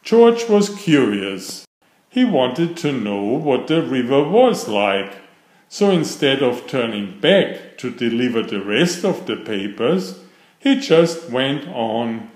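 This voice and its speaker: A man reading a children's story aloud in a deliberate, expressive storytelling manner, with a roomy sound. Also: medium pitch. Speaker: storybook narrator. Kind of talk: children's read-aloud narration.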